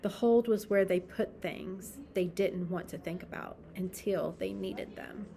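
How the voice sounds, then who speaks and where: A woman reading a children's story aloud expressively, with deliberate, dramatic emphasis. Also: medium pitch, creaky, warm tone. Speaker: storybook reader.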